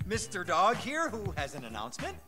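A man's voice: high-pitched, huge pitch swings, fairly quick, pushed and bright, soft background music, exaggerated voice. A man playing a neurotic, needy, cowardly lawyer who stammers and repeats himself in a video game cutscene. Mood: jittery.

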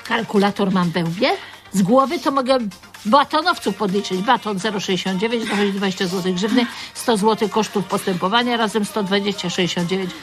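An actress playing a coarse, foul-mouthed store security guard who hectors with scornful contempt in a radio drama. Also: female voice, mid-range voice, fairly quick, soft background music, lightly acted. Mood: accusing.

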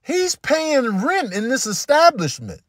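A man giving comedic, casual commentary in an emphatic, slangy, animated manner. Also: extremely high pitch, unhurried. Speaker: comedian doing voiceovers and food vlogs.

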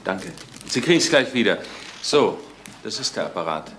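An actor playing a polite, businesslike police investigator in a radio crime drama. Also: male voice, on the low side, big pitch swings, normal speed, fairly bright tone. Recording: echoey, distant, noisy radio drama.

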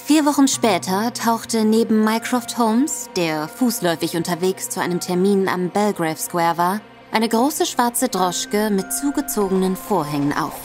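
A woman giving sober, measured scripted narration for a radio drama. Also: mid-range voice, expressive, a little mellow, soft background music.

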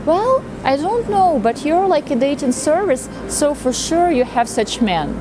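High-voiced woman presenting on a noisy street. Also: sing-song, unhurried. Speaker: matchmaker presenting a video channel. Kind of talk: talking to camera, explanatory with anecdotes. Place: outdoors on a busy pedestrian city street. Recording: clear outdoor city street noise.

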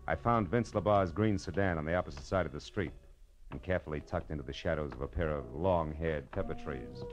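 Tough American gumshoe male actor, low voice, sardonic and clipped, old radio drama, dull vintage sound. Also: close to an everyday voice. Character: tough, wisecracking Los Angeles private detective. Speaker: lead actor and narrator of a detective drama. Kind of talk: 1940s radio drama acting, hardboiled narration. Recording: muffled old radio recording with music.

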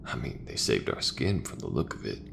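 A man voicing a gentle, reassuring sci-fi mech mechanic in a soft, unhurried, breathy ASMR murmur, often near a whisper. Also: very deep voice, sing-song, noisy background, exaggerated voice. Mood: reflective, easygoing. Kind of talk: ASMR roleplay.

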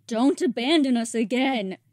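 A stern robot resistance leader, acted by a woman in a dramatic comic dub. The voice is soft-edged and dark. Each grave, imploring phrase is given slowly and deliberately. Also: lowish voice, fairly even intonation, no room sound, studio quality, natural voice. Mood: pleading. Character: stern, pleading female robot resistance commander.

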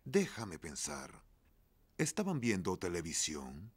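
An actor playing a grumbly but good-natured daddy pig in a preschool cartoon, with a blustery, exaggerated delivery. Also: male voice, sits low, huge pitch swings, slow pace, husky, heavily acted.

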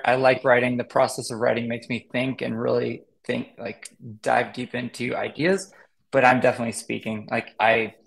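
A man in his thirties with a gravelly, creaky edge to his voice, chatting on a video call. He rambles through his points with plenty of fillers and self-corrections, like a teacher talking off the cuff. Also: medium pitch, low-quality recording. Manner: rambling, many fillers and restarts. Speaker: marketing educator, guest. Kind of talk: casual interview chat.

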